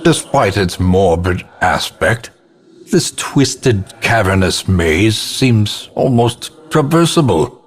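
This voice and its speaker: A man playing a grim old ancestor, narrating a gothic game in a grave, theatrical and portentous manner with a husky, gravelly voice. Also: lowish voice, lively intonation, exaggerated voice. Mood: grave resolve. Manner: grave, ornate, deliberate, portentous.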